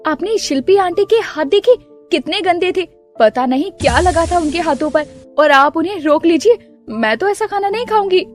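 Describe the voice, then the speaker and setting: A polite young daughter-in-law in a storybook tale, acted by a woman. Her voice is high and animated, deferential yet earnest, with music underneath. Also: normal speed. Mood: protesting.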